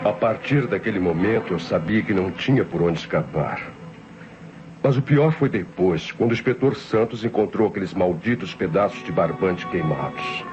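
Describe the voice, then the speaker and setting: A Brazilian man playing an anxious murder suspect in an old radio drama, with measured, brooding, literary delivery. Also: low-pitched, close to an everyday voice. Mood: brooding, tense. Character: anxious male murder suspect narrating his own downfall. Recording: muffled vintage radio recording, music behind.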